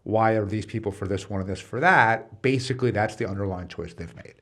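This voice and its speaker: A man's voice: lowish voice, fairly quick, fairly bright tone. A man hosting a podcast interview, talking in a casual, explanatory, conversational way. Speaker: podcast host.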